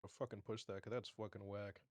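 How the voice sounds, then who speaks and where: A man's voice: lowish voice, little pitch movement, fast, a little mellow. A man playing a tabletop game, chatting casually and bluntly with plenty of swearing. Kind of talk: casual chat.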